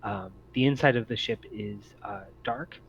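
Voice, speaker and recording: A man acting as game master in an online tabletop game, narrating scenes in a halting, improvised way. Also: lowish voice, gravelly, fairly bright tone. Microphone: online voice call. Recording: muffled, noisy online voice chat.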